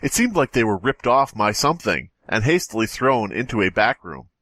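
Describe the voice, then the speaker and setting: A man's voice: on the low side, sing-song, normal speed, a bit bright, no echo. A man co-hosting a podcast, reading a story aloud casually with mocking, playful riffs. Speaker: podcast co-host.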